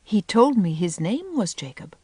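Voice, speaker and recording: Woman as a meek, soft-spoken young schoolmistress. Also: medium pitch, sing-song, a little slow, husky, dry recording. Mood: meek, apologetic. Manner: faltering, soft-spoken, polite. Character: tired, polite young schoolmistress, meek and apologetic. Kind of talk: audiobook character voice.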